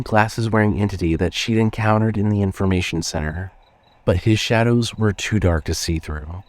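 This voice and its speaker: Male narrator, lowish pitch, measured horror storytelling, scripted, dry close sound. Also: a little mellow, dry recording.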